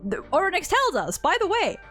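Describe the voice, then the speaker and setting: A woman's voice: very high-pitched, very animated, normal speed, a bit bright, soft background music. A woman in casual gaming fan chat, co-hosting with effusive delivery and frequent swearing. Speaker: co-host.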